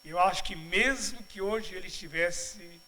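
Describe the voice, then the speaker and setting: A middle-aged man from Bahia, Brazil, giving a spiritual lecture at a congress, mixing humorous asides with measured, didactic explanation. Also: high-pitched, sharp tone, slight background noise, rough recording. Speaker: congress speaker. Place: congress auditorium.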